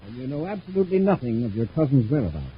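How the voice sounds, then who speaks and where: A man playing a calm, authoritative space patrol commander in terse 1950s radio style. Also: medium pitch, very fast, natural voice. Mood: stern questioning. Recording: muffled old radio recording, faint noise.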